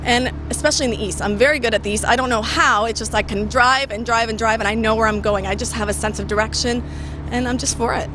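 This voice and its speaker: A woman's voice: a little high, fairly even intonation, fast. A woman from Canada with a Canadian accent, a TV traffic reporter giving chatty interview answers and mixing in Albanian words. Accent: Canadian English. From Canada, of Albanian parents from North Macedonia. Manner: chatty, switches between English and Albanian.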